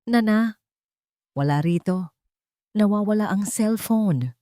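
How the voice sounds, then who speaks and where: A woman's voice: medium pitch, lively intonation, slow, mellow, no room sound, clean studio sound, voice pushed up. A woman with a Filipino accent voicing a frightened, desperate young housemaid heroine in an audiobook, urgent and anguished.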